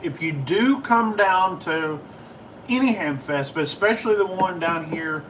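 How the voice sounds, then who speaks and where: A distant-sounding microphone picks up an American man over clear background noise. His voice sits a little high for a man. He talks like a hobbyist livestreamer, informal and halting, wandering through his answers as he goes. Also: expressive. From United States. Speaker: livestream host, ham and CB radio hobbyist. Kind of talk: casual livestream chat answering viewer questions.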